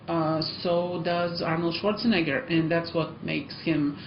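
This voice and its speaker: A woman's voice: fairly low pitch, fairly even intonation, measured pace, a little hiss or hum. Chatty Eastern European woman teacher. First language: an Eastern European language. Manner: chatty, encouraging, many ums and uhs. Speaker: language school teacher. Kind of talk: casual vlog talk to learners. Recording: echoey, muffled room sound.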